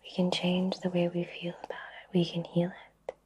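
A woman whispers very softly and breathily, in an even, slightly low tone. She guides a relaxation session in ASMR style, soothing and unhurried, leaving long pauses. A faint noise sits behind her voice. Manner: slow, soothing, gently reassuring, with long pauses. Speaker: ASMR Reiki practitioner guiding a session. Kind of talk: whispered ASMR personal attention.

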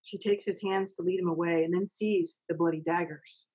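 A woman's voice: lowish voice, fairly even intonation, moderate pace, darkish tone, husky. Middle-aged woman narrator, dull call audio. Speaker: stage manager reading stage directions. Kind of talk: plain reading of script directions. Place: home office, indoors. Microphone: computer mic on a video call.

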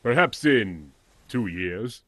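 A cold corporate negotiator in an audio drama. He speaks in a husky, measured way, coolly condescending. The sound is close and dry. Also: on the low side, expressive, fairly bright tone, no room sound, exaggerated voice.

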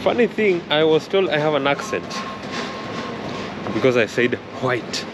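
A young man chatting slowly and thoughtfully as he films a walking travel vlog, first outdoors at a rainy harbor, then inside a concert hall. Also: on the high side, very animated. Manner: slow, thoughtful, chatty, says he struggles with R and L. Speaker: travel vlogger. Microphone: camera held close at chest height. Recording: street noise behind the voice.

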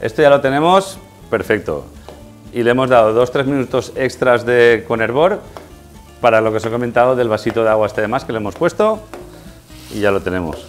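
Middle-aged Spanish chef explaining a recipe. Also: male voice, mid-range voice, lively intonation, brisk, soft background music. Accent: Spain. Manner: explanatory, instructional. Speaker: guest chef. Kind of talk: informal cooking demonstration. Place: indoors in a tiled kitchen set.

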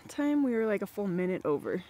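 A woman's voice: mid-range voice, big pitch swings, a little fast, mellow, slight background noise. A woman in her thirties chatting casually while sitting in a cold pond outdoors, laughing often, picked up by a distant camera. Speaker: homesteading vlogger. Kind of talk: casual chat. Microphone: far camera. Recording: faint outdoor ambience.

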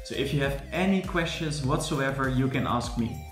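A young man speaks earnestly into a desk webcam, laying out details point by point with frequent "furthermore" and small restarts. His voice sits at a middling pitch in a reverberant room, with soft music underneath. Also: normal speed. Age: young adult. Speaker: course supervisor and tutor. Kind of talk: promotional talk to camera. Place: room with large windows, indoors. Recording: echoey room with faint music.

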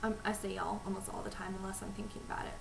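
A young woman from North Texas with a Texas accent, chatting to camera at home with lots of ums and reading out a word list over a weak, quiet webcam microphone. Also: medium pitch, flat delivery, rapid-fire, creaky. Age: young adult. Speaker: vlogger. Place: small room at home, indoors. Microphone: webcam microphone, low volume. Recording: quiet webcam mic with hiss.

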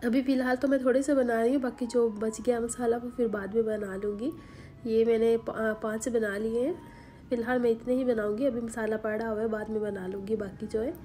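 A woman, hoarse from a sore throat, giving a chatty home cooking voice-over with many fillers. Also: on the high side, steady tone, fairly quick, mellow, slight background noise. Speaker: cooking channel host. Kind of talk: recipe voice-over. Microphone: voice-over recorded separately.

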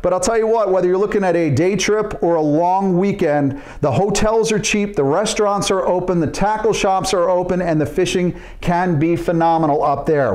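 Male host, fifties, conversational and folksy, fairly high voice, casual report delivery. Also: darkish tone. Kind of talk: casual fishing report to camera. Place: indoors in a home office.

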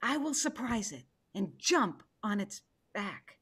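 A woman's voice: mid-range voice, big pitch swings, slow pace, a bit bright, breathy edge, full character voice. A woman acting a proud, impatient female tiger in a theatrical play reading, imperious and emphatic. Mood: commanding.

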